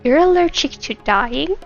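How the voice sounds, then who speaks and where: A woman with a high voice chats casually as she livestreams a game. She is giggly, and the sound is slightly dull, with faint music behind her. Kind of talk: casual chat with viewers during gameplay.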